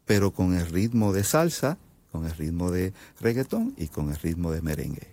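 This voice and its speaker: A Puerto Rican man with a very low voice explains his music as an interview guest. He talks in long, halting stretches, full of fillers and restarts. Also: very animated, dark tone. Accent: Puerto Rican Spanish. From Puerto Rico. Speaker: composer guest. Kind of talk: interview answers.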